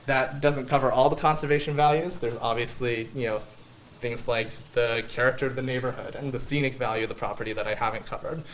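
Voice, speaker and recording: A man's voice: mid-range voice, steady tone, fast talker, slight background noise. A man from a land conservation group speaking hesitantly at a public panel, with many fillers. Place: library meeting room. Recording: echoey room, far from mic.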